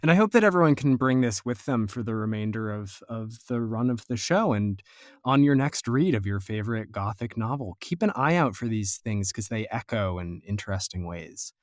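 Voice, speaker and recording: A man's voice: on the low side, lively intonation, moderate pace, dry recording, clean studio sound. A man hosting an interview podcast in a conversational, wordy and playfully wry way. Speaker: podcast host.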